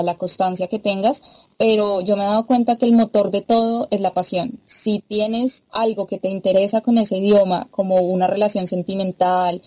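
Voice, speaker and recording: Mellow-voiced Latin American woman explaining. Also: mid-range voice, low-quality recording. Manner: explanatory, thoughtful with fillers. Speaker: guest. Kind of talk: interview conversation.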